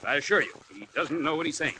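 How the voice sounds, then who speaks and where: An actor playing a self-righteous, easily riled small-town newspaper editor with genteel wording, in 1940s radio drama style. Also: male voice, on the high side, animated, fast, sharp tone. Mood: smoothly apologetic. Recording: noisy old-time radio recording.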